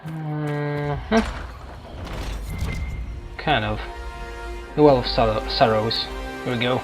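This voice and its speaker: Man casually commentating over game music. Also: medium pitch. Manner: hesitant, halting, thinking aloud. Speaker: gameplay streamer. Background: video game music.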